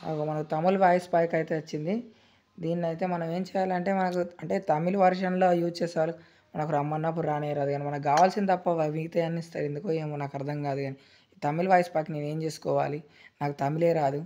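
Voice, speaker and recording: A man hosting a gaming channel, explaining a game tutorial in a rapid, repetitive, filler-heavy way. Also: fairly high pitch, steady tone, dark tone, muffled recording. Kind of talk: screen-recorded game tutorial.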